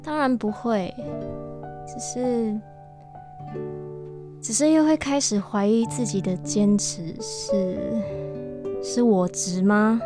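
An actress playing an earnest, wistful young tour guide in a radio drama, conversational and hesitant, with a Taiwanese Mandarin accent. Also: female voice, on the high side, takes it slowly, long pauses. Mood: wistful, unsure. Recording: music behind the voice.

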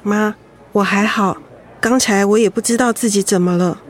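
A woman acting a gentle, dutiful young daughter-in-law in a radio drama. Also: mid-range voice, fairly even intonation, normal speed, natural voice. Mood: subdued. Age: young adult.

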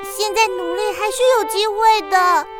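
A woman's voice: very high voice, fairly even intonation, normal speed, music in the background. A woman playing a sweet, naive, optimistic youngest sister in a children's radio drama. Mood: gentle, contented. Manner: innocent, earnest, childlike.

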